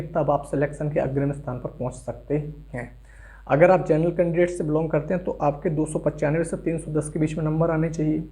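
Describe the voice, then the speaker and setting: Higher-pitched, mellow-voiced man explaining. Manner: instructional, addresses viewers directly; mixes English terms into his speech. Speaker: exam-preparation YouTube host. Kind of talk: explanatory exam briefing to viewers.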